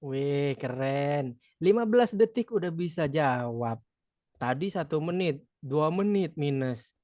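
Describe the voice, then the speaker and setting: A man's voice: a little high, animated, measured pace, mellow, no room sound, clean studio sound. Chatty Madurese man tutoring online. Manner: chatty and encouraging, joking with participants. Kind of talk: live online exam practice session.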